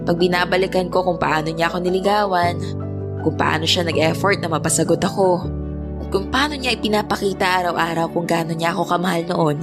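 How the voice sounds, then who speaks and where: A woman's voice: on the low side, lightly acted. A woman voicing an earnest young woman in a dramatized first-person narration, reflective and confessional about her heartbreak. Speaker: story narrator. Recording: music bed under the voice.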